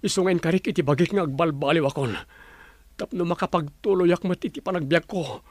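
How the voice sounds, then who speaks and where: A remorseful man newly freed from prison, voiced by an actor in a radio drama. He speaks earnestly and with contrition, in a somewhat high voice. The recording is dry and close. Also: brisk, no room sound, natural voice. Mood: contrite. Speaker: repentant brother character.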